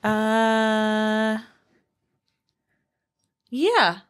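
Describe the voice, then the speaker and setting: A woman's voice: on the high side, drawn-out words, smooth. A woman hosting a casual podcast, chatty and a bit self-conscious. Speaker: podcast host.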